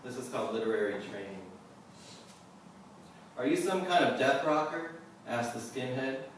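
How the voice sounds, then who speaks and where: A man reading his own poetry at a live event, measured and deliberate in the poems and offhand in between. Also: mid-range voice, expressive. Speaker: poet reading own work. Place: live reading venue, indoors. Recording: roomy echo, distant mic, faint noise.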